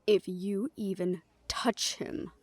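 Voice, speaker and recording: A woman's voice: mid-range voice, fairly even intonation, slow pace, husky, no room sound. A woman playing a fiercely loyal young werewolf in an audio drama, challenging and sarcastic in her delivery. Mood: defiant threat. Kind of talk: scripted audio drama acting.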